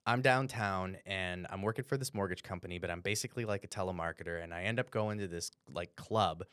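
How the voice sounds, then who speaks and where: An American man from Cleveland telling stories casually on a podcast, animated and profane, with bro-like slang. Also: low voice, fast talker, clean tone, no room sound. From Cleveland, Ohio, United States. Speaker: podcast co-host. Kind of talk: casual storytelling.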